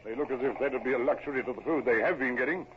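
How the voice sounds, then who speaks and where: An actor playing an earnest, deferential junior naval officer in an old radio drama. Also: male voice, mid-range voice, fast, lightly acted. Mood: anxious, keen. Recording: muffled, faintly noisy vintage radio recording.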